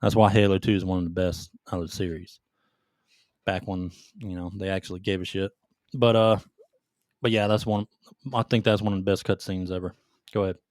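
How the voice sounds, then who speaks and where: A man's voice: low voice, steady tone, a little fast, darkish tone, no echo, clean studio sound. A man co-hosting a gaming podcast, talking informally with banter and some swearing. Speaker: podcast co-host. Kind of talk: casual gaming podcast chat, countdown.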